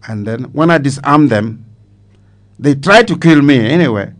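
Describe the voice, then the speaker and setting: A man's voice: mid-range voice, expressive, moderate pace. An older man with a Cameroonian accent, a retired army commander, recounting his memories in an interview with emphatic repetition. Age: older, 70s or more. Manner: emphatic, repeats phrases for weight.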